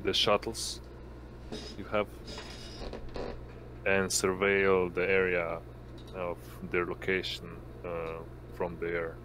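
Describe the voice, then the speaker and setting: Slow, low-voiced man as game master. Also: monotone. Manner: halting, searching for words. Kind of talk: improvised tabletop game narration. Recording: noisy home voice-chat microphone.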